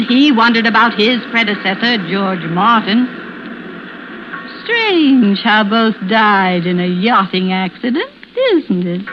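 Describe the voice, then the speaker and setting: An actress playing a murderous, scheming widow who taunts with false helplessness in an old-time radio suspense drama. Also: female voice, mid-range voice, a little hiss or hum, natural voice. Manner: taunting, theatrical, drawn-out monologue. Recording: muffled vintage radio recording with music.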